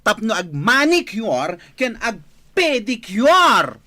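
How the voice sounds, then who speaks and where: A woman's voice: on the high side, very animated, slow pace, sharp tone, heavily acted. A woman with a Filipino accent acting a nagging, indignant young woman in a radio drama, scolding and emphatic.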